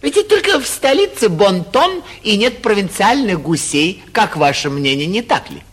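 A man playing a foppish, carefree young gentleman from the capital in a classic Russian stage comedy for radio, chatty and airy with a self-satisfied manner. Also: sits high, very animated, moderate pace, full character voice. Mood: breezy, genial. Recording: muffled, husky 1949 radio theatre recording.

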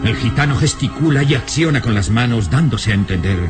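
A man's voice: mid-range voice, animated, a little fast. A man narrating a radio serial in a dramatic, scripted style, solemn and suspenseful, with music behind.

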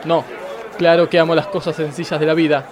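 A man's voice: on the high side, level tone, fairly quick, close to an everyday voice. An Argentine man playing a pompous, exasperated, self-pitying judge in a comic radio play, declaiming melodramatically. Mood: melodramatic self-pity. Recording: crowd noise behind the voice.

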